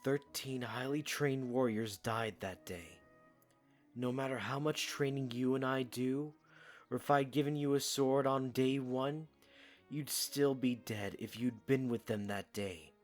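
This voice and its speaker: A man's voice: medium pitch, steady tone, a little slow, soft background music, close to an everyday voice. A man playing an earnest, protective young warrior in an audio drama, plain-spoken and given to short sentences. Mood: protective, serious.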